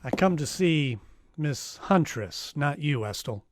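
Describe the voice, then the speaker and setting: A man's voice: on the high side, animated, takes it slowly, noisy background. A man playing an unflappable, wisecracking private detective who also narrates in a first-person noir radio style. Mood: wry, unflappable. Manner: dry, wisecracking.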